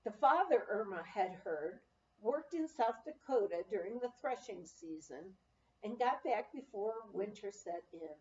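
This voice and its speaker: A woman reading a memoir aloud as narration, in a measured storytelling way with dramatic emphasis. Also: medium pitch, expressive, dark tone. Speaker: audiobook reader. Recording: roomy, slightly dull home recording.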